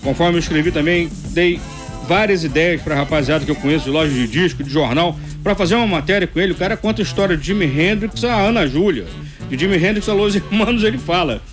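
A high-voiced man from Rio rattles along quickly as a radio host, chatty and full of slang. Music plays clearly underneath his talk. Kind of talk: casual radio chat.

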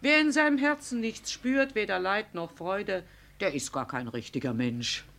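A woman's voice: mid-range voice, lively intonation, normal speed, gravelly, fairly bright tone. An actress playing a frank, good-humoured, motherly village wife in a German radio play. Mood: earnest, teasing. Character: hearty, motherly village wife who chides and teases.

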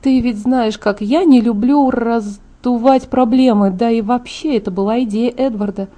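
A woman reader plays a reluctant young bride-to-be from a storybook, in a soft-edged, mellow voice. She is stubborn and easily exasperated, protesting and grumbling. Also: fairly high pitch, fairly even intonation, normal speed, lightly acted. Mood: defensive.

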